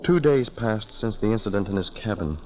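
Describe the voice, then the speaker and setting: A man's voice: lowish voice, lively intonation, a little fast, lightly acted. An actor playing a male ship passenger in a 1940s radio horror drama, telling and living his tale with dramatic, urgent gravity. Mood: grave suspense. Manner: dramatic, grave in narration, urgent in dialogue. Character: alarmed male ship passenger recounting a terrifying voyage. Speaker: narrator and lead character. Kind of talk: 1940s radio acting, first-person horror narration. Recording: muffled, noisy old 1940s radio recording.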